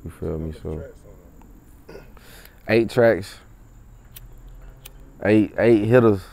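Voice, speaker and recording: Deep, mellow-voiced young man. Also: drawn-out words, creaky, dry recording. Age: young adult. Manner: laid-back, slangy, with frequent tag phrases. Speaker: rapper and clothing brand owner. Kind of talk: casual interview talk. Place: outdoors in a driveway beside a parked car.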